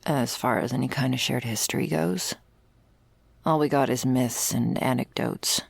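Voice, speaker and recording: A woman playing a jaded, sardonic vampire's servant in an intimate ASMR roleplay, talking in a wry, world-weary, gravelly way. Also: very deep voice, moderate pace, dry recording, full character voice. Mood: wry, cynical. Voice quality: very creaky, gravelly. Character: jaded, sardonic female vampire's servant explaining undead lore.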